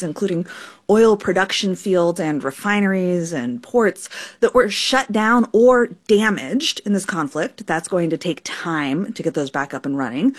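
A woman reporter explaining energy markets in a radio news interview. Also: mid-range voice. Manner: explanatory, lively delivery.

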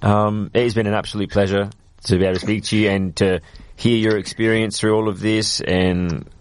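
A man's voice: lowish voice, level tone, a little fast. A man co-hosting a radio interview, talking casually as he wraps it up. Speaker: radio co-host.

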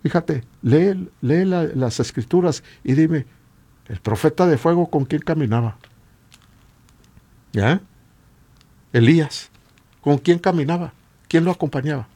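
A man with a Latin American Spanish accent and a husky voice, preaching informally and emphatically with repeated rhetorical questions. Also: fairly high pitch, lively intonation, a little slow, pauses often, mellow. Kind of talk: informal exhortation sermon.

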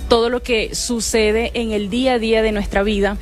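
A woman artisan whose first language is Arhuaco, speaking in a measured way with frequent fillers as a radio guest. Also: fairly high pitch, fairly even intonation. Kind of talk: radio interview.

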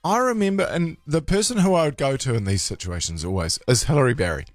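A man's voice: mid-range voice, expressive, fast, no echo, clean studio sound, soft background music. A man with a New Zealand accent bantering casually as a breakfast radio host.

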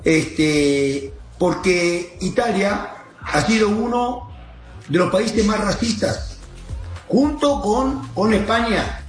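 A man's voice: high voice, animated, slow pace, echoey room, faint music behind. A man talking casually as a guest soccer coach, explaining emphatically in a rambling way and checking that he is followed. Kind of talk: casual interview talk.

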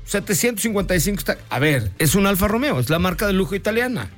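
A man with a Mexican Spanish accent hosts a relaxed car show, joking and enthusiastic as he talks. His voice sits somewhat high for a man, and faint music plays underneath. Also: fast. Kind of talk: casual radio car talk.

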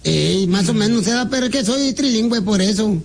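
A man plays a cheeky, flirty prankster with a very high, squeaky voice. He teases and jokes crudely, giving absurd answers down a phone line on a radio prank call. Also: lively intonation, normal speed, vocal fry, full character voice. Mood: teasing.

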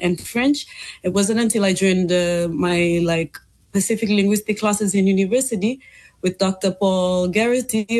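A woman from Fiji, answering interview questions conversationally and reflectively, pausing to find her words. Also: fairly low pitch, a little mellow. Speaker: interview guest, English teacher and linguist.

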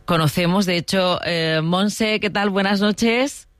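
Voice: mid-range voice, slow pace, a bit bright, dry recording, clean studio sound. A radio talk show host interviewing guests with many questions. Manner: attentive, asks many questions.

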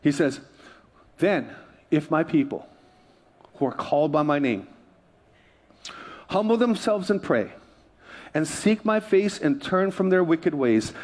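A man's voice: on the high side, very animated, slow, pauses often, darkish tone. A man from Hawaii preaching a sermon as a pastor, emphatic, repeating phrases and prompting his listeners. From Hawaii, United States.

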